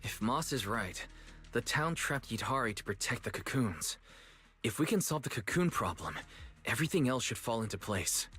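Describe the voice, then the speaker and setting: Game role: level-headed young man, husky, mid-pitched, earnest, caring. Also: very animated, normal speed, sharp tone, faint music behind, heavily acted. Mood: thoughtful. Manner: earnest, thoughtful. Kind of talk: video game voice acting.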